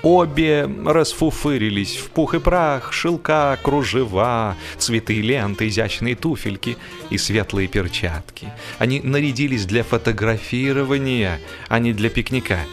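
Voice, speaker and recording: Guy reading a funny audiobook, mid pitch, wry and theatrical w/ pauses, some music under it. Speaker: audiobook narrator. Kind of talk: expressive scripted narration of a humorous story.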